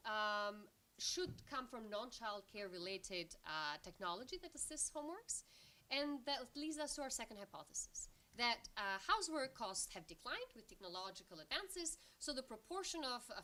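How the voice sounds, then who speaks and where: A woman from Albania speaks as a researcher at an academic conference. Her voice sits fairly high and has a very bright, forward edge. She lays out her argument in an explanatory way, checking in with listeners as she goes.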